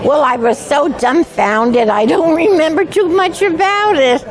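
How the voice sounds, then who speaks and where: A woman's voice: high-pitched, measured pace. A hundred-year-old woman chatting casually and cheerfully about her life as an interview guest, in a plain-spoken way. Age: 100 years old. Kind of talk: casual reminiscing chat. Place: indoor lounge by a fireplace. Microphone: lapel mic. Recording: busy room chatter behind.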